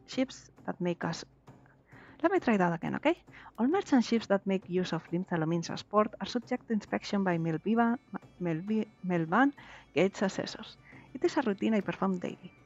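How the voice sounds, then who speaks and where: A woman reading aloud the lines of a calm, formal female arcanist officer in a game, in a measured way with small stumbles. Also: mid-range voice, close to an everyday voice. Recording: slightly dull lo-fi stream audio.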